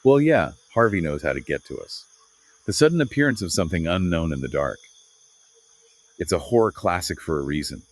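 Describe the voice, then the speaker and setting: Low, husky, animated male podcast co-host. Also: brisk. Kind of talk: conversational literary discussion.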